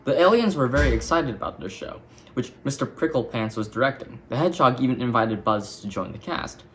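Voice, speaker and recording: Male reader for young children's storybook, expressive intonation, mid-pitched. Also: a little fast. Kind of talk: scripted children's story narration.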